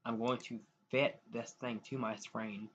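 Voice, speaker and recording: A man's voice: mid-range voice, little pitch movement, unhurried, fairly bright tone. A young man from the United States chatting casually to viewers while sharing his screen, rambling and restarting often. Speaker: YouTuber. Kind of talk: casual screen-share vlog talk. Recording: dull lo-fi mic with faint noise.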